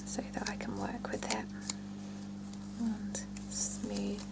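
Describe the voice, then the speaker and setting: A woman speaks in a soft, breathy whisper with a crackle of vocal fry. She plays a salon therapist in an ASMR roleplay, soothing and reassuring her client in a gentle, chatty way. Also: mid-range voice, big pitch swings, slow. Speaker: ASMR performer playing a salon hair and scalp therapist.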